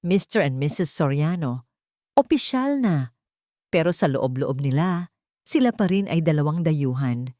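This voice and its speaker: A woman's voice: deep voice, animated, a little slow, long pauses, warm tone, no echo, studio quality. A woman with a Filipino accent giving dramatic scripted narration of a romance story.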